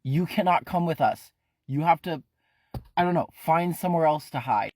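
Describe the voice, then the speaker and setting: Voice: mid-range voice, normal speed, a little mellow, no room sound, voice pushed up, natural voice. A furious teenage boy, as a storyteller puts him on, raising his voice and snapping short, harsh commands. His speech stumbles when he gets worked up. The sound is close and dry. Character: angry cornered teenage boy leader.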